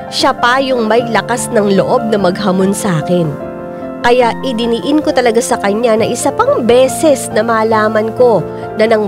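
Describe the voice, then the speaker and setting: An actress plays a resolute, wounded woman narrating a radio drama in the first person. Her mid-pitched voice is emotive. Loud piano music runs behind it. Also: close to an everyday voice. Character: hurt, resolute woman recounting her troubled relationship.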